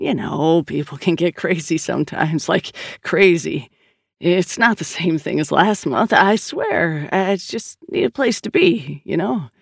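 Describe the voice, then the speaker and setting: A narrator as a gushing, needy younger brother, pitched up. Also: male voice, vocal fry, no echo, clean studio sound, full character voice. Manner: stammering, gushing, over-eager.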